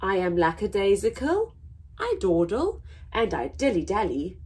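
A young woman voicing a placid, unbothered sloth in a children's storybook, savouring each word deliberately. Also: mid-range voice, huge pitch swings, a little mellow, breathy edge, exaggerated voice. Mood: earnest, measured. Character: slow, placid, unbothered sloth explaining itself. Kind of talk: children's storybook read-aloud.

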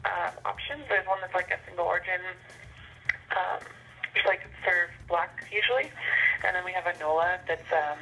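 Young lady on a phone call, bright voice, polite coffee shop worker, kinda hesitant. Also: on the low side, fairly even intonation, moderate pace, creaky, a little hiss or hum. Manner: polite, good-humoured, hesitant. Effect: telephone. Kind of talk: casual customer service phone chat. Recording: phone call played over speakerphone.

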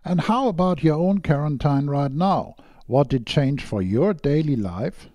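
A man interviewing on a podcast with a fairly high, very animated delivery. His tone is on the mellow side, and he speaks prepared lines in a dry recording. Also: a little slow, no room sound. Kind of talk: scripted interview questions and sign-off.

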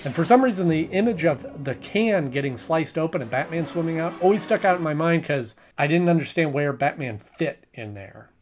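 A man's voice: fairly high pitch, animated, brisk, hardly any pauses, soft background music. A man in his thirties giving a casual, conversational episode review to camera in a small room at home, often restarting his sentences. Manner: conversational, with frequent restarts and hedging. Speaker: show reviewer.

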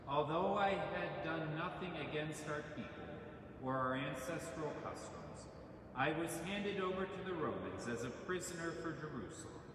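Middle-aged man, priest, measured solemn recitation, booming church echo, distant noisy room sound. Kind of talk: liturgical prayers and scripture reading. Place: large church sanctuary. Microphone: stand mic at lectern. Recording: echoey church from far off.

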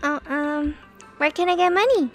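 A woman's voice: high-pitched, moderate pace, faint music behind, lightly acted. A woman voicing an innocent, eager little girl with a childlike, hesitant way of talking in a cartoon comic dub. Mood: puzzled.

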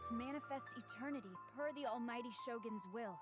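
Stern female tengu general, played by an actress, higher-set voice, formal and resolute, video game trailer with very prominent music. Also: fast talker, close to an everyday voice. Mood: solemn, resolute. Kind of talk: scripted game character trailer monologue. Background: loud trailer music.

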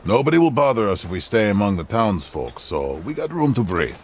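A man's voice: fairly low pitch, very animated, brisk, breathy edge, full character voice. An actor playing a cocky, easygoing Wild West outlaw in a video game, drawling and dropping Spanish words into his English. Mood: plotting. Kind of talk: video game cutscene acting.

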